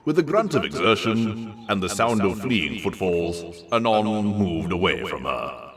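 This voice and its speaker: A man's voice: lowish voice, sing-song, measured pace, a crowd in the background. A man reading a story aloud in a dramatic narrating style. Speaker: story reader.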